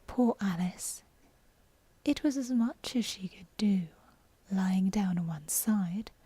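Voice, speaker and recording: A woman reads a bedtime story in a breathy whisper, ASMR style. She takes her time, and her tone is dark and soft. The sound is close and very dry. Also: medium pitch, no echo. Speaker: audiobook narrator. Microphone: close, dry microphone.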